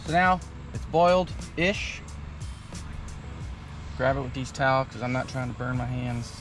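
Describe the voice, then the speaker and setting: A man in his thirties giving a relaxed, chatty step-by-step demonstration outdoors at a campsite under a canopy tent. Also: mid-range voice, measured pace. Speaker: vlogger. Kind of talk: casual how-to demo. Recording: background music under voice. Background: music.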